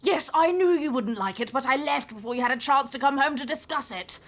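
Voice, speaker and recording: A woman's voice: sits high, animated, a little fast, a bit bright. A woman playing a brusque, imposing British man in his late forties, with clipped, punchy, staccato delivery in an audio drama. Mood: clipped, defensive. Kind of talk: audio drama phone-call dialogue.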